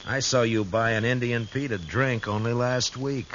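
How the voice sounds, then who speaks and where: An American actor playing a shrewd, steady frontier marshal in a 1950s radio western, speaking firmly and deliberately. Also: male voice, mid-range voice, natural voice. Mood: dry, firm. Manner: dry, deliberate, firm. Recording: dull, noisy old radio recording.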